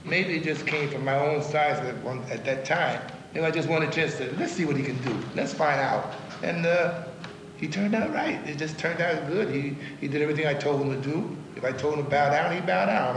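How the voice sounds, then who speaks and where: A man with a gravelly voice, a boxing trainer speaking plainly in a documentary interview. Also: a little high. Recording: echoey noisy room.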